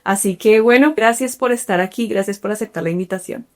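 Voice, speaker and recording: A woman's voice: medium pitch, fairly quick, a little mellow. A woman hosting an interview podcast, reading a scripted introduction and asking encouraging questions. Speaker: podcast host.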